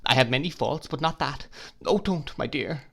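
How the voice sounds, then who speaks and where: A man voicing a timid young mother who pleads through tears in a high, fluttering voice. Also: moderate pace, vocal fry, a bit bright. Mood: tearful.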